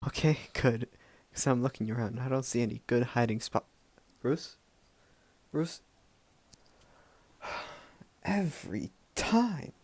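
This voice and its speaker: A man acting a gruff, wry police commissioner in an amateur radio play. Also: medium pitch, expressive, slow pace, long pauses, a little mellow, dry recording. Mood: wry, puzzled.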